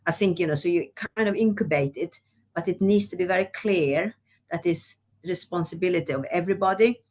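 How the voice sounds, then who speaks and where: A woman's voice: on the low side, brisk, darkish tone. A woman from Sweden, speaking as a guest executive in a webinar discussion, talking conversationally with many "you know"s. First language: Swedish.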